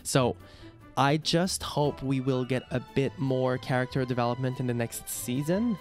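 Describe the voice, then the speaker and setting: A man with a Québécois French accent hosts a casual ranking video in English, his second language. He talks in a friendly, halting way with frequent "uh" and "um", at a mid pitch, with light music playing softly underneath. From Quebec, Canada. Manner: friendly, hesitant with many fillers. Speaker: YouTube host.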